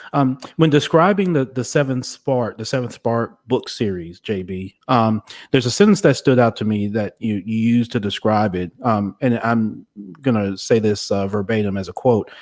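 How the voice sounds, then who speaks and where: A man's voice: on the low side, darkish tone. A man hosting a conversational podcast interview, wordy and hesitant, often circling back to rephrase his questions.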